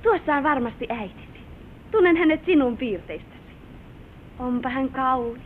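Delicate young newlywed wife, high-pitched and gently exclaiming, period radio play style, faint noise behind. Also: female voice, lively intonation. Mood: admiring, curious. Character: young bride, sweet, admiring, easily delighted. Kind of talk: old Finnish radio play acting. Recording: narrow-band, hissy vintage radio recording with rumble.

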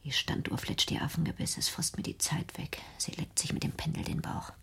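A woman acting in a radio play as a fearful, accusing, reclusive grown daughter, brooding and intense, with a breathy, whispery voice. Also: low voice, sing-song, fairly quick, fairly bright tone, heavily acted.

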